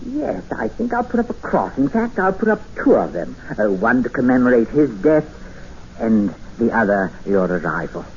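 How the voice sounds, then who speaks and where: An actor playing a gentle, eerie older recluse obsessed with books, hesitant and politely insistent, in a 1950s radio drama. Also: male voice, medium pitch, big pitch swings, normal speed. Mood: quietly menacing. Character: gentle, eerie jungle recluse obsessed with Dickens, quietly menacing. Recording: muffled, hissy 1950s radio recording.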